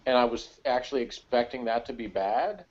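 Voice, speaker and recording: A man's voice: mid-range voice, expressive, normal speed, dull audio. A man chatting casually as co-host of a sci-fi TV review, rambling and searching aloud for details. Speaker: podcast co-host.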